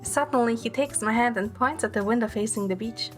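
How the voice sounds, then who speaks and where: A woman playing a gentle young heroine, narrating her romance in the first person as scripted audio drama. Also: on the high side, brisk, faint music behind, lightly acted.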